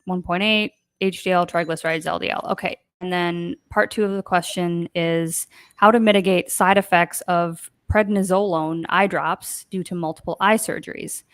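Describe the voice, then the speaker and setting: A woman's voice: on the low side, fairly even intonation, normal speed, clean tone, no echo. A woman hosting a casual live online show, chatty and rambling with many fillers. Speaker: host. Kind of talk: casual livestream chat.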